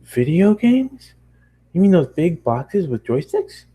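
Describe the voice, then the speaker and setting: Male reader as young female pony, cartoonish, raised pitch, bouncy, curious, eager questioning, story character. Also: very animated, takes it slowly, warm tone, slightly rough, heavily acted. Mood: puzzled, curious. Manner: eager, inquisitive, exclaiming questions. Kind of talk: fan fiction character reading.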